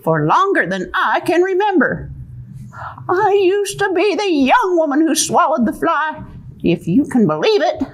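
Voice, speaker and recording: A folksy little old lady played by a woman in a children's puppet show. Her voice is high and lively, with a wry, resigned drawl and a teasing edge. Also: measured pace, exaggerated voice, rough recording. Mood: rueful.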